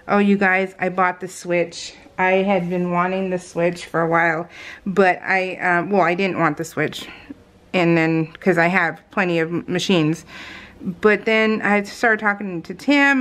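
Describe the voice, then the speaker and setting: A middle-aged woman with a mid-pitched voice talks casually as a craft vlogger. She rambles in a chatty, unscripted way. Her manner is giggly and self-amused. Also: fairly bright tone.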